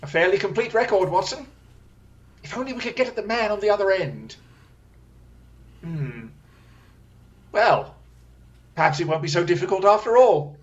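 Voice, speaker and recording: An actor playing a brilliant, wry, self-assured Victorian detective in an audio drama, with a crisp, theatrical, commanding manner. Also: mid-range voice, lively intonation, moderate pace, long pauses, muffled recording, lightly acted. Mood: thoughtful, confident.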